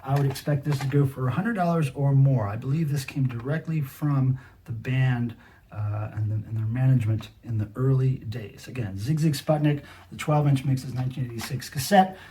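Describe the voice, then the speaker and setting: A man's voice: mid-range voice, moderate pace, mellow, a little hiss or hum. A middle-aged man hosting a casual show-and-tell, talking to viewers directly with a collector's enthusiasm. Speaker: video host, cassette collector.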